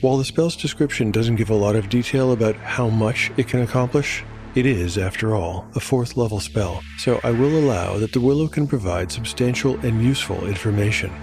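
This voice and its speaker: A man narrating a scripted audio drama as game master, with a measured storyteller's delivery. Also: mid-range voice. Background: music.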